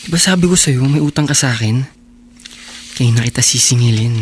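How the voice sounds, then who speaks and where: An actor playing a lazy, pushy high school boyfriend in a Filipino radio drama, with a Filipino accent. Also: male voice, brisk, slight background noise, lightly acted. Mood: coaxing, pushy.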